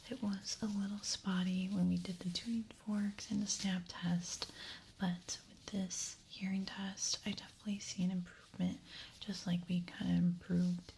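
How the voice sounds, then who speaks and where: A woman speaking in a soft, breathy whisper, reassuring, as an examiner in an ASMR medical role-play. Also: mid-range voice, slight background noise. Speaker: ASMR creator role-playing an ear examiner.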